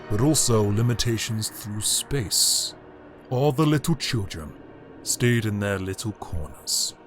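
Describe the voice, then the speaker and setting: A cold, grandiose emperor of the universe, acted by a man, speaks in a fairly low voice over prominent music. His audio drama monologue is pompous and theatrical, with deliberate pauses and a condescending edge. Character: grandiose, cold tyrant Emperor, formerly a heroic Time Lord.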